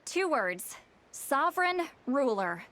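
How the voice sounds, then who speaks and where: A woman voicing a proud, sharp-tongued young shaman in a video game, explaining lore in a wry, lecturing way. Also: high-pitched, very animated, takes it slowly, heavily acted. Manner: wry, lecturing, slightly haughty. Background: game soundtrack music.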